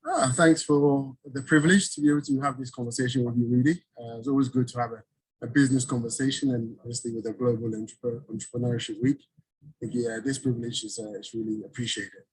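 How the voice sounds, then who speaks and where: A middle-aged man from Ghana, a business owner talking casually in an interview over a video call, relaxed, often chuckling and restarting. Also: medium pitch, quick pace, slightly rough, poor audio.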